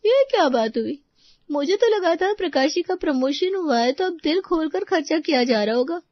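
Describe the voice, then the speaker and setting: Here is a playful young woman friend, acted by a female storyteller, pitched high and full of teasing exclamations. She belongs to a moral story, and the sound is slightly dull. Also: expressive, moderate pace, no room sound. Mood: lively, curious. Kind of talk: Hindi moral-story character dialogue.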